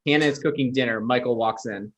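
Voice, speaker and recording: Mid-pitched man reading stage directions. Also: fast talker, fairly bright tone, lo-fi sound. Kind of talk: scripted narration for table read.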